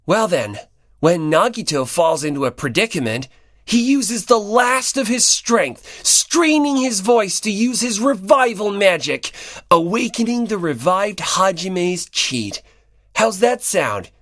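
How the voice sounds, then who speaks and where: A performer voicing a teasing, theatrical, slyly contrary teenage boy in an audiobook, with a mocking, drawn-out delivery. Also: medium pitch, animated, a bit bright, no echo, studio quality, voice pushed up. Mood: mocking, amused. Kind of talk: dramatic audiobook character dialogue.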